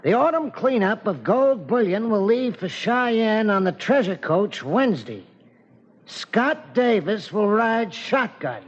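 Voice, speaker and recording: A Western townsman, high-pitched and very slow in delivery. The 1940s radio acting comes through husky and muffled. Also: big pitch swings, full character voice. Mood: announcing. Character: frontier townsman reading out a newspaper notice. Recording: dull vintage radio recording.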